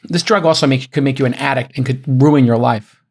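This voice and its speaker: A man's voice: mid-range voice, a little mellow, breathy edge. A man hosting a casual podcast chat, joking, pushy and swearing freely. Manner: fast, profane, joking, pushy. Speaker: podcast host. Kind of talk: casual banter.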